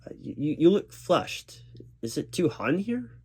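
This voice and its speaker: A man's voice: a little high, big pitch swings, moderate pace, a little mellow, husky. A man performing a one-sided spoken ASMR roleplay as a recruiting manager, reassuring and patient, with formal corporate phrasing.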